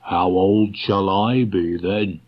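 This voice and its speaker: A man voicing a gentle, slow-witted toy bear from a storybook, earnest and puzzled, speaking slowly and hesitantly. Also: deep voice, steady tone. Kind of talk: storybook character voice. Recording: dull restored cassette tape.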